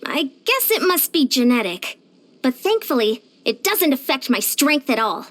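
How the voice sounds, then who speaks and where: A woman's voice: high voice, big pitch swings, normal speed, fairly bright tone. A woman voicing a short, youthful female fitness coach in a video game, peppy, encouraging and earnest. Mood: matter-of-fact, proud. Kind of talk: video game character voice lines.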